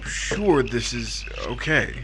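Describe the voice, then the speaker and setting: An actor playing a weary, hesitant married man in a 1950s-style radio drama, speaking in halting short phrases. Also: medium pitch, lively intonation, noisy background. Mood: uneasy, compliant.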